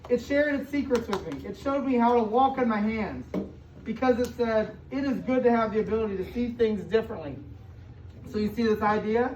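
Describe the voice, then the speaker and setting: A woman's voice: fairly high pitch, warm tone. American woman reading to children, echoey and distant. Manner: explains and paraphrases as she reads, with questions and emphatic stretched words. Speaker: school superintendent reading aloud to children. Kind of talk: interactive picture-book read-aloud to young children. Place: indoor room with children present. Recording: distant, echoey, muffled room recording with noise.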